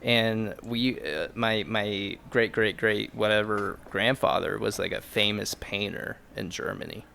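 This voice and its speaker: A man's voice: on the low side, steady tone, unhurried, creaky, few pauses, bright, forceful tone, a little hiss or hum. A man hosting a podcast in casual, joking chat, teasing and rambling as he goes. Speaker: podcast host.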